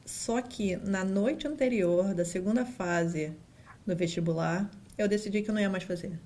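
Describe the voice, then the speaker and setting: Carioca woman, interview guest, chatty storyteller, mid pitch. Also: normal speed, dark tone. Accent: Carioca Brazilian Portuguese. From Rio de Janeiro, Brazil. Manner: chatty, many fillers and restarts, acts out quotes. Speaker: guest, data scientist. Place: office.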